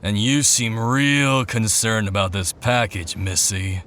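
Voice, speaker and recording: A man's voice: on the low side, steady tone, takes it slowly, a bit bright, slight background noise. A man voicing a menacing male bandit boss who talks in curt, suspicious, threatening lines. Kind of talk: video game voice acting.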